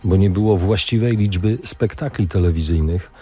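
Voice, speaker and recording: A man's voice: low voice, moderate pace, warm tone, husky. A man interviewing guests in conversational speech in a radio studio.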